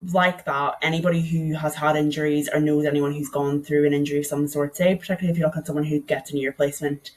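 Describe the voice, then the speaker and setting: A woman's voice: sits low, rough recording. A young woman with a Donegal Irish accent, a podcast guest telling her story in a chatty way, often saying "like". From Ireland. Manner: chatty, peppers speech with "like".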